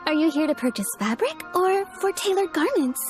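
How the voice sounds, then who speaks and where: Very high-voiced, polite shopkeeper. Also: female voice, very animated, brisk, soft background music. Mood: welcoming. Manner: deferential, customer-service politeness. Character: courteous, eager-to-please female kimono shopkeeper. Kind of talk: video game voice acting.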